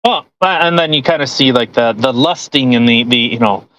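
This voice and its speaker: A man co-hosting a podcast, giving casual commentary in halting, rambling explanations peppered with "you know". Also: medium pitch, a bit bright, muffled recording, a little hiss or hum. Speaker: podcast co-host.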